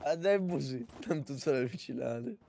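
A man's voice: medium pitch, animated, vocal fry, mellow, slight background noise. A man chatting casually in a roleplay game, with crude joking. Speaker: fellow roleplay gamer.

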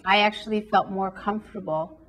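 A woman's voice: mid-range voice, fairly even intonation, brisk. A middle-aged woman artist giving an interview in a classroom, speaking earnestly and reflectively. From United States. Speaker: artist and foundation founder.

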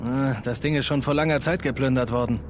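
An actor playing a cocky, quick-witted younger male adventurer, casual and teasing, in a dubbed action video game. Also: mid-range voice, steady tone, slight background noise, natural voice. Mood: calm, curious. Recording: long cave-like reverb, faint music.

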